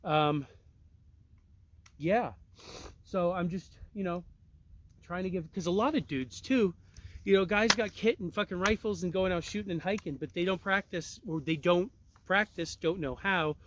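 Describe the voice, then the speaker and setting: A young man with a slightly raised pitch talks outdoors into a handheld camera. His voice is a little raspy and congested. He explains things in a relaxed, rambling way, full of fillers and restarts. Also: a little slow, long pauses. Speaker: tracking instructor. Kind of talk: casual outdoor demonstration. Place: outdoors on sandy riverbank.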